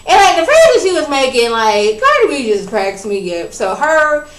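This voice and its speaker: A woman casually recapping a reality TV episode in a chatty, animated way, acting out what people said. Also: sits high, moderate pace. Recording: roomy, distant from the mic.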